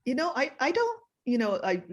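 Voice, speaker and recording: A woman's voice: high voice, big pitch swings, fairly quick. A woman chairing a committee meeting, who often stumbles and repeats words while she thinks.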